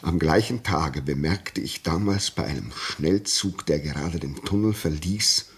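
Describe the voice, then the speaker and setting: An actor playing a grave, shaken railway signalman in a German radio play, telling his story haltingly and insistently. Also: sits low, slightly rough. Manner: slow, halting, repeats words for emphasis.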